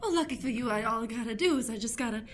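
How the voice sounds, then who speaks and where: A woman's voice: a little high, lively intonation, racing, faint music behind, natural voice. A woman playing a purring, vengeful cat woman with melodramatic delivery in an improvised comic radio play. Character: purring, vengeful woman who was turned into a pet cat.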